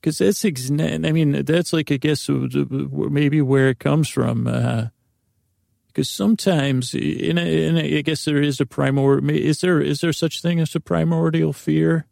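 A man's voice: a little high, steady tone, measured pace, gravelly, a little mellow, no room sound, clean studio sound. A man hosting a podcast, rambling through a comic monologue full of restarts and self-interruptions, acting out imagined exchanges. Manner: acts out imagined dialogues. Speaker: podcast host.

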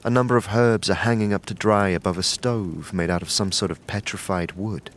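A man narrating an audio drama in the second person, measured and descriptive. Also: sits low, fairly even intonation, slight background noise.

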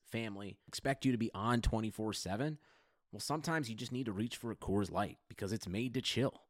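Low, dry male ad announcer. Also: dry recording. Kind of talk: scripted ad read.

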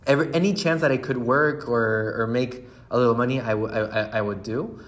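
A man's voice: medium pitch, brisk. A man, a brand founder telling his story in a casual interview, laughing often and restarting his sentences. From Chile.

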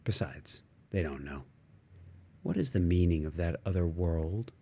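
Deep, unhurried man reading fiction. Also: lively intonation, dark tone, husky. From United States. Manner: measured, fragmentary phrasing with pauses. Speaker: audiobook reader. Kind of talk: literary narration, stream-of-consciousness reading.

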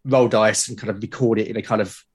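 A British man talking as a podcast guest, explaining his creative process in a reflective, halting way. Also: fairly low pitch, fairly even intonation, husky. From Britain. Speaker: podcast guest, creator of a solo role-playing show.

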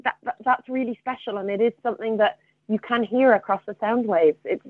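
A woman's voice: fairly high pitch, steady tone, brisk, no room sound. A woman being interviewed as a theatre artistic director, speaking in an enthusiastic, reflective way over a phone-like line. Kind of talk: interview conversation. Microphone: remote phone or call.

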